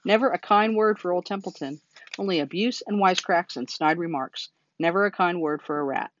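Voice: mid-range voice, a little fast, fairly bright tone, lo-fi sound, a little hiss or hum, natural voice. A reader voicing a self-pitying, sarcastic male rat from a children's storybook, complaining in a mocking, exaggerated way. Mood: sarcastic grievance. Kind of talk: children's storybook character reading.

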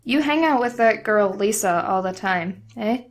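A woman's voice: mid-range voice, animated, unhurried, soft background music, close to an everyday voice. A woman voicing a dry, teasing, friendly teenage schoolgirl in a comic dub.

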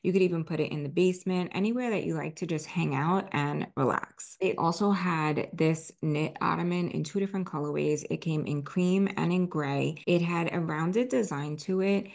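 A woman in her forties presents home decor in a conversational voice-over. Her voice sits quite low and has a clear vocal fry. She explains things plainly, like a host walking viewers through products. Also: moderate pace, few pauses, a little mellow.

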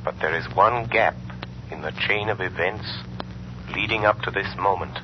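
Radio drama: male actor as unseen avenger, very low, slow, commanding; muffled vintage recording. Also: a little hiss or hum, close to an everyday voice. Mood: commanding, ominous. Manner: commanding, deliberate, formal. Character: mysterious invisible male crime fighter, commanding. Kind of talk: 1930s radio acting.

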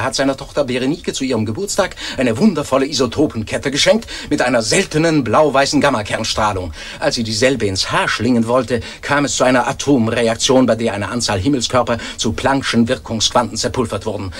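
An actor playing an earnest, long-winded scientific announcer in a radio play, formal and deadpan. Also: male voice, mid-range voice, breathy edge. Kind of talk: mock-formal scripted explanation in radio play.

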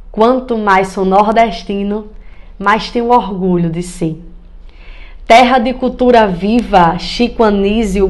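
A young woman with a Northeastern Brazilian accent reciting folk poetry with a smile, in a measured rhythm. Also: mid-range voice. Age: young adult. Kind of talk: expressive cordel poetry recitation. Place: indoor room.

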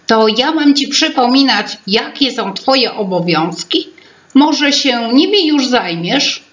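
A woman's voice: fairly high pitch, expressive, slow, a bit bright, echoey room, highs cut off, pitched above the performer's own voice. A woman voicing a grumpy, weary male fairy-tale teapot who grumbles sulkily. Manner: sulky, grumbling.

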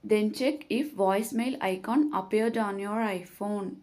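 A woman narrates a scripted tutorial with a medium-pitched voice. Her delivery is very slow and measured, setting out each instruction step by step. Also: a little mellow.

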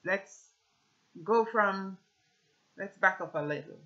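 A woman in her thirties with a Jamaican accent gives an earnest, measured religious testimony at home, talking to camera. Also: medium pitch, bright, forceful tone, lo-fi sound. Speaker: speaker giving a personal religious testimony. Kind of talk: personal testimony with scripture reading, talking to camera. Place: small indoor room at home with shelves.

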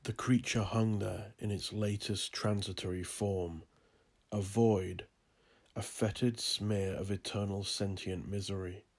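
Slow, gravelly man narrating dark horror. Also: fairly low pitch, steady tone. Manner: slow, brooding, deliberate delivery.

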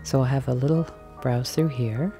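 A woman filming herself as she browses a large antique and thrift shop, chatting casually and musing aloud, sometimes dropping to a soft whisper. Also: very deep voice, moderate pace, soft background music. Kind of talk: casual walk-and-talk commentary. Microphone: handheld camera mic, filming as she walks.

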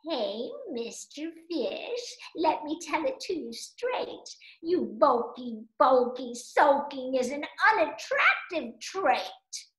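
A woman's voice: sits high, very animated, slow pace, hardly any pauses, fairly bright tone, exaggerated voice. A woman voicing a scolding sea creature in a children's storybook, emphatic and exaggerated, urging good cheer. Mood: chiding. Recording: roomy indoor echo.

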